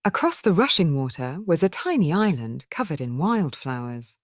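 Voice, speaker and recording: A woman reading a scripted children's story in an expressive, gentle storybook manner. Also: low voice, normal speed, no echo, studio quality.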